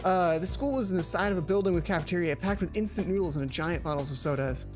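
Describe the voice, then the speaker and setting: A woman's voice: lowish voice, fast talker, darkish tone, faint music behind. A woman hosting a let's play, reading a visual novel aloud with casual, playful asides. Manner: playful asides, self-correcting.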